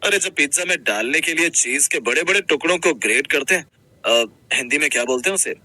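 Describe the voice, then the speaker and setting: A man's voice: a little high, animated, brisk, pushed and bright, dry recording, full character voice. A man playing a friendly young restaurant manager in a Hindi cartoon story, explaining things in a casual, businesslike way.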